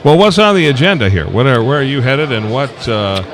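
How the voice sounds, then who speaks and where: A man's voice: medium pitch, very animated, brisk. A man with a Tennessee Southern accent hosting a casual live interview, folksy and rambling as he searches for his words. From Tennessee, United States. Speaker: radio show host. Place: busy convention event. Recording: busy convention crowd noise behind. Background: crowd chatter.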